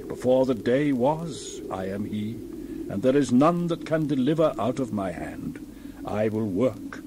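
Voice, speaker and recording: A man with a mid-pitched voice performs a dramatized scripture reading. His delivery is solemn and declamatory, measured, with emphatic swells. Clear background ambience with birdsong sits behind him. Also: noisy background. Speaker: Bible reader.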